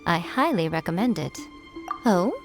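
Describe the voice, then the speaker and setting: Coy, lilting shrine priestess. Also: female voice, on the low side, expressive, moderate pace, gravelly. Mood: amused, teasing. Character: poised, playful, teasing adult shrine priestess. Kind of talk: video game voice acting. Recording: faint music behind the voice.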